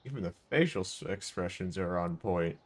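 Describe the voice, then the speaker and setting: A man's voice: low-pitched, lively intonation, moderate pace. A man livestreaming a video game with casual, playful, laid-back commentary. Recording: game music under the voice. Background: game music.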